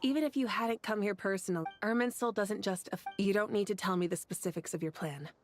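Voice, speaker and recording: Casting: actress as breezy, self-assured warrior woman for a video game, mid-pitched, casual and teasing, over prominent music. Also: quick pace, lightly acted. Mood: amused, impressed.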